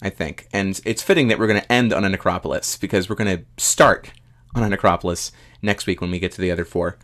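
A man's voice: low voice, fast talker, fairly bright tone. A man hosting a podcast in an unscripted, conversational style. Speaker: podcast host.

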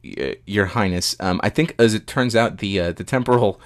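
A man co-hosting a comedy podcast in casual chat, with a halting, polite straight-man manner. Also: lowish voice. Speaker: podcast co-host.

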